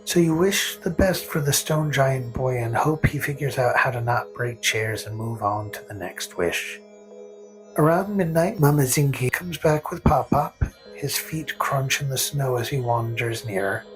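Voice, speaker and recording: A man narrating a scripted fantasy story in the second person, with a gentle, whimsical storytelling manner. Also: mid-range voice, expressive, measured pace, low-quality recording. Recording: faint music under narration.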